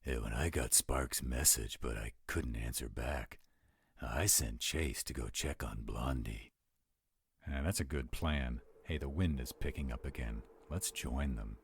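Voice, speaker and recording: A man's voice: a bass voice, a little slow, husky, dry recording, exaggerated voice. A man playing a confident, reassuring supernatural companion in a scripted roleplay audio drama. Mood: approving, decisive.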